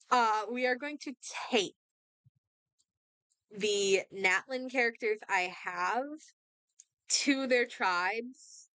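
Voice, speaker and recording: A woman's voice: on the high side, fairly bright tone, clean tone, no echo, rough recording. Young woman with a sore throat. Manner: chatty, thinking aloud, trailing off. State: sore throat, losing her voice; hiccups. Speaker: gaming streamer. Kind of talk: casual commentary while playing a game.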